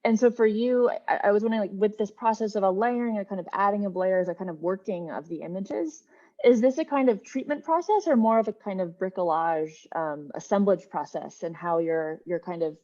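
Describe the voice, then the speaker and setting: A woman talks through a dull, lo-fi video call line. She builds her questions slowly with hedges and "um"s, her pitch sitting in a middle range. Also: a little mellow. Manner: searching, hedged phrasing with many fillers. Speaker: panelist. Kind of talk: academic discussion question.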